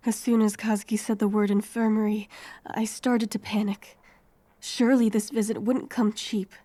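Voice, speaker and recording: A young woman playing a wary, homeless heroine in her twenties, telling her story softly and with measure in an audio drama. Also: mid-range voice, level tone, normal speed, lightly acted. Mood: reflective. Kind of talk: first-person audio drama narration and dialogue.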